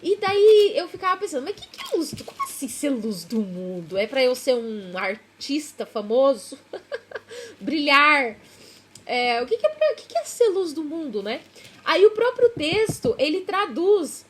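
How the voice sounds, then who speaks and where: A woman's voice: high-pitched, sing-song, unhurried. A Brazilian woman with a Brazilian accent, chatting casually in a rambling devotional vlog, correcting herself and laughing at herself. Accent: Brazilian Portuguese.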